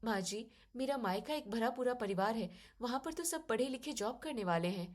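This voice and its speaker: A woman playing an educated young daughter-in-law who is soft-spoken, polite and firm as she reasons in an audio story. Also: on the high side, lively intonation, normal speed. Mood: polite, determined.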